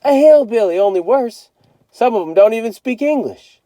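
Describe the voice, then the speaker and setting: A man's voice: very high-pitched, animated, moderate pace, warm tone, dry recording, pitched above the performer's own voice. A man voicing a cocky adolescent older brother in a storybook, brash and slangy with menacing bravado. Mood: scary warning.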